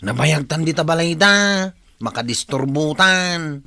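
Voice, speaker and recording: An impatient nephew in a comedy drama, acted by a man. He nags insistently in a fairly high voice with a sharp, forceful edge. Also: expressive, a little slow, dry recording, full character voice. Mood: impatient, nagging. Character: impatient nephew nagging his smitten uncle.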